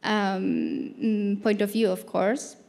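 Female panelist, 30s, smooth clear tone, unscripted and searching for words, big echoing hall. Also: mid-range voice, little pitch movement, a little mellow. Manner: halting, searching for words with repeated starts. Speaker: panel speaker, activist anthropologist. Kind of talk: unscripted answer at a panel discussion. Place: large dark auditorium stage. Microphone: handheld microphone held close. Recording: reverberant hall sound.

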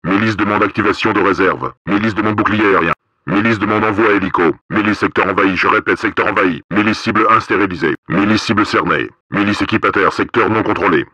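An actor playing a stern, cold paramilitary police officer in a video game, giving terse commands through a radio filter. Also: male voice, on the low side, fast, pushed and bright, dry recording. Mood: clipped reporting. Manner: terse, commanding, coded military phrases.

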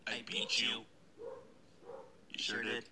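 A man's voice: fairly low pitch, monotone, slow pace, background noise. A man voicing a menacing video game monster in a creepy, theatrical way.

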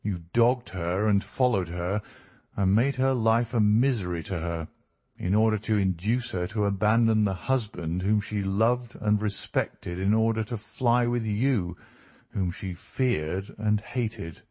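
A man voicing a composed, authoritative detective in a storybook reading, formal, measured and stern. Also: on the low side, warm tone, dry recording, highs cut off, natural voice.

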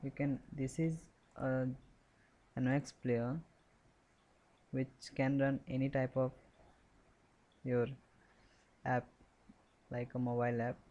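A man presents a software walkthrough at a very slow pace. His medium-pitched voice stays flat as he instructs step by step. He speaks off the cuff, haltingly, often repeating words. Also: highs cut off. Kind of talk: step-by-step screen tutorial, unscripted.

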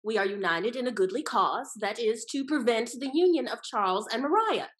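A young woman acting a scheming, haughty high-society lady in a period stage comedy, with arch, theatrical emphasis. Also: expressive, normal speed, fairly bright tone, a little hiss or hum. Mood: scheming, composed. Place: indoor room, performing on video call. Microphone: video call.

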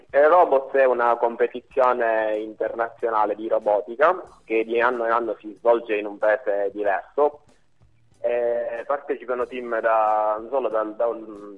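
A man's voice: lowish voice, steady tone. Young man on a tinny phone line. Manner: hesitant, halting. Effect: telephone. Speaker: interview guest, university robotics team member. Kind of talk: phone interview, explaining. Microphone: telephone.

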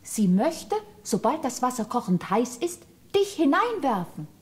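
An actress playing an affectionate, earnest little girl in a fairy-tale radio play, with a husky, childlike voice. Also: sits high, sing-song, unhurried, exaggerated voice. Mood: earnest, worried.

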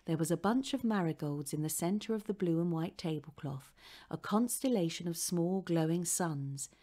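A woman's voice: on the low side, normal speed, dark tone. A woman reading a children's story as scripted audiobook narration.

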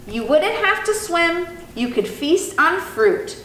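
A woman reads as a helpful storybook bear, high and very lively in pitch. The bear gives encouraging advice at an unhurried pace in a roomy space. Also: heavily acted. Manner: encouraging, suggesting. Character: helpful bear giving advice to a little turtle. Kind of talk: expressive children's storybook character reading. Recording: roomy sound with faint noise.

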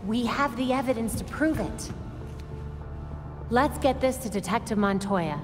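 A decisive young vigilante. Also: female voice, a little high, lively intonation. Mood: focused. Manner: brisk, decisive. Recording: reverb with music score.

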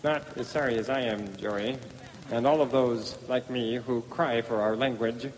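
A man's voice: medium pitch, steady tone, normal speed, in a large hall, a little hiss or hum, lightly acted, low-quality recording. An actor playing a fussy, pompous male language pedant with precise, lofty diction, in a radio comedy sketch. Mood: exasperated. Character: fussy, pompous male word pedant, prim and easily offended. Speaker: Mister Literal, pedantic language expert. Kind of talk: 1990s radio comedy acting.

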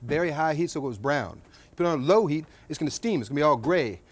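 A man's voice: on the high side, animated, fast, background noise. A man in his thirties hosting a cooking show at an outdoor grill, chatty and joking as he cooks. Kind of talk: cooking demonstration.

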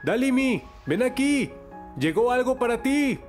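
A man voicing a gentle, proud young father in a cartoon dubbed in Latin American Spanish. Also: very high voice, big pitch swings, a little slow, heavily acted. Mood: cheerful. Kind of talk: children's animation dub. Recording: music behind the voice.

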